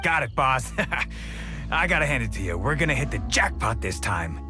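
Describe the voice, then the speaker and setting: A man's voice: medium pitch, big pitch swings, normal speed, music in the background, natural voice. An actor playing a jittery bandit henchman, hesitant and eager to please his boss, in a game cutscene.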